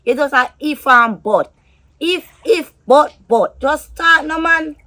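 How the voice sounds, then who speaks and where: A woman's voice: high voice, slow, bright, forceful tone, heavily acted. A woman with a Jamaican accent playing a feisty, scornful lover in a drama, scolding and repeating her words for emphasis. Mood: suspicious, probing.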